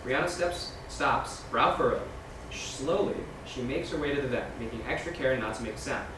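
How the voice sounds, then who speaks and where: A man's voice: medium pitch, normal speed. A man reading scene directions aloud as scripted narration at a screenplay table read. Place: large echoey room, far from mic. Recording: echoey, noisy room from far off.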